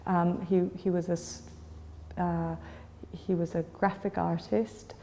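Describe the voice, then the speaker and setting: Chilean-born woman with a mellow, low voice. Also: level tone, slow, in a large hall, a little hiss or hum. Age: 40s. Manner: thoughtful, with frequent fillers and restarts. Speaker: gallery owner. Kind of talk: conversational interview answers.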